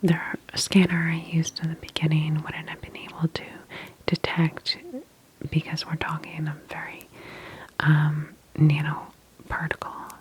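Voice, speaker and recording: A woman speaks in a soft, breathy whisper, low and very even in tone. She plays a calm, attentive doctor in an ASMR roleplay, gently reassuring the patient at an unhurried, slow pace. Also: rough recording. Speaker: ASMR roleplayer playing a medical examiner.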